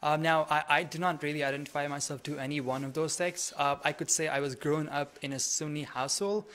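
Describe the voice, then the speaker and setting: A man's voice: a little high, steady tone, a little fast, a bit bright. A man in his early twenties with a Pakistani accent, a student answering interview questions conversationally.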